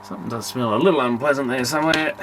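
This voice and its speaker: A man's voice: medium pitch, rapid-fire, very noisy. A man thinking aloud in a casual, unscripted workbench commentary, muttering tentatively as he works. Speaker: amateur electronics repairer presenting. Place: repair workbench indoors.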